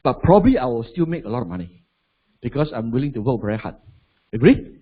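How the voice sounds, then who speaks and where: A man's voice: mid-range voice, big pitch swings, fast talker, slightly rough, phone-quality sound. A man in his forties with a Malaysian English accent, giving a chatty seminar talk and throwing rhetorical questions at the audience. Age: 40s. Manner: chatty, asks the crowd rhetorical questions, adds "lah". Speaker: motivational business speaker.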